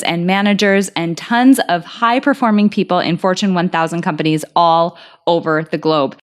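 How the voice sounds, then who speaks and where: Woman, podcast host; lowish voice, upbeat and enthusiastic. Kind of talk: podcast interview.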